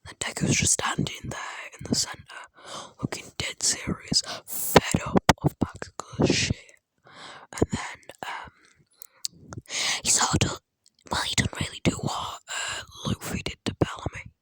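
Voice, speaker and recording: A person speaking in a breathy, unvoiced whisper, telling an improvised fan story in a rambling, halting way, close to a phone. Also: fairly bright tone, no room sound, rough recording. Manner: rambling, halting, acting out characters' dialogue in passing.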